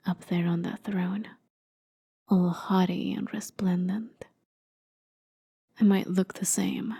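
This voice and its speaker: A woman playing a haughty, jaded immortal warrior in an ASMR roleplay, softly spoken and often near a whisper, with sardonic, conversational storytelling. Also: on the low side, heavily acted. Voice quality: soft, breathy, often dropping to a near whisper. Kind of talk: ASMR roleplay, dramatic monologue.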